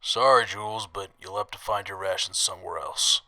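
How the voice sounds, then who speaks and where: Man as a forceful, blunt wasteland food-stall cook. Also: sits low, fairly even intonation, moderate pace. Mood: firm. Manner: blunt, matter-of-fact. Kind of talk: audio drama acting.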